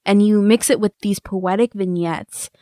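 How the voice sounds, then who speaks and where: A woman's voice: medium pitch, normal speed, mellow, dry recording, clean studio sound. A woman co-hosting a podcast, giving earnest, effusive feedback in a conversational way and piling up descriptions. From immigrant to the US. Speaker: podcast co-host.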